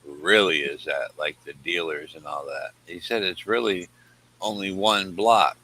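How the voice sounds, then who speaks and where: A man's voice: deep voice, steady tone, a little slow, sharp tone, rough recording. A man chatting informally as a guest on a live stream, often repeating himself. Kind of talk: casual chat.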